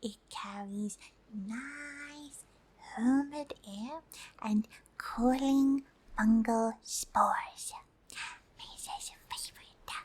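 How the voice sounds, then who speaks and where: A woman plays a cute, friendly alien girl in an ASMR roleplay. The voice is a breathy whisper, high and very slow. It sounds gentle and curious, explaining things with careful, slightly hesitant wording. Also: full character voice. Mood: softly instructive. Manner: gentle, hesitant, third-person speech with careful scientific wording. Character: cute, curious, friendly pink female alien explaining human summer tips. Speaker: host.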